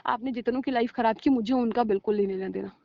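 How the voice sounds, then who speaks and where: High pitched woman, sarcastic n scolding, heated couple fight on a phone call, dull dry sound. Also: fast talker, creaky, no room sound. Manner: mixes in English words and phrases. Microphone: recorded phone call.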